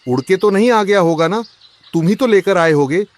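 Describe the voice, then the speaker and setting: A man playing an agitated, suspicious rich merchant husband in a cartoon, loud and complaining. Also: high voice, lively intonation, moderate pace. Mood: accusing. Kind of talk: Hindi cartoon story voice acting.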